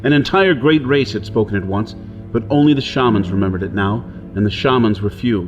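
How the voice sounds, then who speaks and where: A man's voice: lowish voice, brisk, highs cut off, music in the background, lightly acted. An actor playing a rugged, wary Earth-born outlaw on Mars in an audio drama, intense and theatrical as he talks himself through things. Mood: tense narrating. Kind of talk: audio drama acting with first-person narration.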